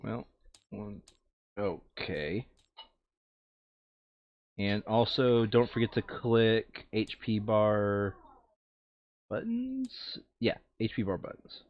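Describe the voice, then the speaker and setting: Man in animated, casual gaming chat, slightly dull audio. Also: on the low side, drawn-out words, pauses often. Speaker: board game player recording a playthrough.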